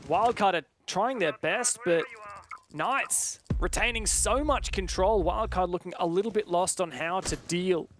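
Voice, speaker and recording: A man's voice: high voice, fairly bright tone, a little hiss or hum. A man giving live esports play-by-play commentary with dramatic, colourful phrasing, as a match caster.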